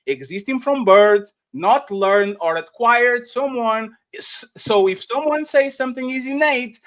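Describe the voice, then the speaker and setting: High-voiced man cutting in to moderate. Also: unhurried, a bit bright. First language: Portuguese. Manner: cuts in with repeated hey and one second, then explains carefully. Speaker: panelist moderating the debate. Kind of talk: heated debate on a livestream panel.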